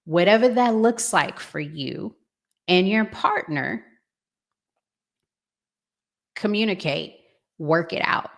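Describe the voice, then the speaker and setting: A middle-aged woman giving casual, unscripted commentary as a vlog host, thinking aloud in a halting, conversational way. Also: on the low side.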